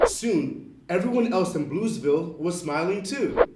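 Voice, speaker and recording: High-voiced man, unhurried storyteller. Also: animated. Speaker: storybook narrator. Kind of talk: scripted children's story reading. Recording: noisy, roomy, distant mic.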